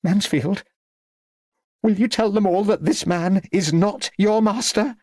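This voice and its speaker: Agitated aristocratic old woman, acted by a man, high and insistent, for a storybook. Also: unhurried, darkish tone, no room sound, studio quality. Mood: indignant, shocked. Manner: insistent, indignant, demanding.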